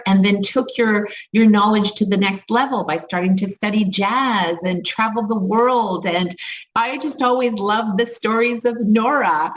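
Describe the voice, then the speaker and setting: A woman's voice: mid-range voice, recorded from a distance, a little hiss or hum, poor audio. A woman hosting an interview over a video call, speaking with enthusiasm and encouragement. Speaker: interview host. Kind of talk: online interview.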